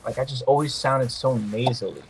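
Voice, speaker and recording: A man's voice: mid-range voice, normal speed, husky, low-quality recording. A man hosting a gaming podcast, a bit nasal, joking loosely and trash-talking while he comments on his own play. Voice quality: sometimes nasal. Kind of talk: casual gameplay commentary.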